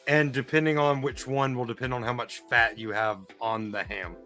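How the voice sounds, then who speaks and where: A man's voice: medium pitch, fairly even intonation, normal speed, bright, forceful tone. A man chatting casually as a player in a live-streamed trivia game. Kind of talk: casual chat. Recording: faint music behind the voice. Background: faint music.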